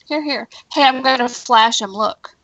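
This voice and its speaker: Woman, fairly high voice, livestream co-host, casual chat, dry close audio. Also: measured pace, fairly bright tone, no room sound, dull audio. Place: indoors, live stream.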